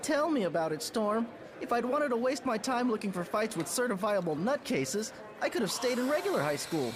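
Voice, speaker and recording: A woman's voice: on the high side, very animated, fairly quick, a crowd in the background. A woman voicing a sulky, sarcastic teenage superhero in a motion comic. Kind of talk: dramatic motion comic voice acting.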